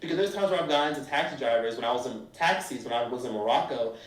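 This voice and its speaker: A man giving blunt, animated travel advice in a casual monologue, sometimes acting out strangers' voices. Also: mid-range voice, fast. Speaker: travel vlogger. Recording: roomy echo from a distance.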